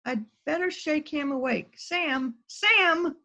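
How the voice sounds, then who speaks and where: Flustered housewife hostess, read by a woman; high creaky voice, dull recording, amateur radio play. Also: slow, bright, forceful tone, heavily acted. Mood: flustered concern. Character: polite flustered housewife hosting an anniversary party. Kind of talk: amateur radio-style readers theater. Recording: dull, lo-fi sound.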